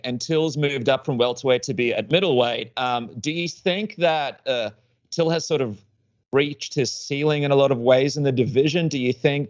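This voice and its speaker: A man's voice: medium pitch, dry recording, muffled recording. A male podcast co-host who teases the guest and asks long, careful questions. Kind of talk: casual interview chat.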